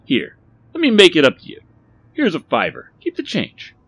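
Casting: slick male hustler for an audiobook, a man acting, chummy yet needling, bright and raised in pitch. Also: wildly swooping pitch, moderate pace, slightly rough, lo-fi sound, heavily acted. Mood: mocking. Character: Rodney, pushy smug salesman, mocking and needling.

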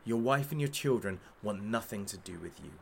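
A man's voice: on the low side, expressive, normal speed, gravelly, slight background noise. An actor playing a masked hostage-taker who runs a talk show, gleefully cruel, sarcastic and showman-like, in an audio drama. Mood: cold contempt.

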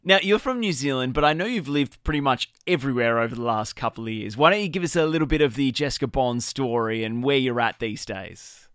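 A man's voice: mid-range voice, animated, fairly quick, hardly any pauses, fairly bright tone, no echo, studio quality. A man hosting an interview podcast, chatty and upbeat, laughing easily with his guest. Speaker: podcast host. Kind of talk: casual interview chat.

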